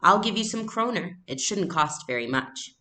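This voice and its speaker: A woman voicing a gentle, good-humoured mother of young girls from a storybook, practical and motherly. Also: on the low side, lively intonation, moderate pace, voice pushed up. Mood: practical, concerned.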